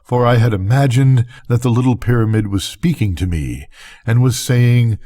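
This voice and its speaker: An older man reading a bedtime story as scripted narration, with measured, deliberate phrasing. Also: mid-range voice, warm tone. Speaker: reader of bedtime stories.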